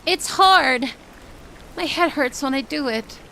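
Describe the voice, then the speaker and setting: A woman's voice: high voice, lively intonation, takes it slowly, creaky, bright, forceful tone, slight background noise, full character voice, rough recording. A woman playing a proud, easily flustered and annoyed young mermaid princess in a roleplay audio drama, pouty and prone to stammering.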